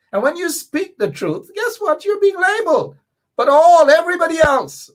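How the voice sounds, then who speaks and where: Middle-aged guyanese guy on his talk show, high voice, super lively up and down, repeats stuff for emphasis. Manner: animated, emphatic, repeats key points. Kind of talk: political commentary monologue.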